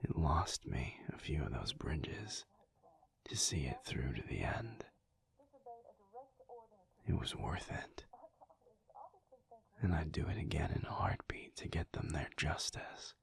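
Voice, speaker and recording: Low, slow, whispering alpha werewolf played by a man. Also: sing-song, exaggerated voice. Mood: weary, frustrated. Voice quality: hushed, breathy whisper. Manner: slow, halting, pensive. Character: weary, troubled alpha werewolf pack leader confiding in his partner. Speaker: audio roleplay performer. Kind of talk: intimate audio roleplay monologue.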